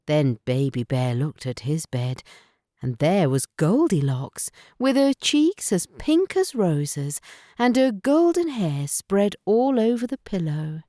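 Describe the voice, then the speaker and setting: A woman narrating a children's bedtime story in careful, expressive phrases. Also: fairly low pitch, takes it slowly, no room sound, studio quality.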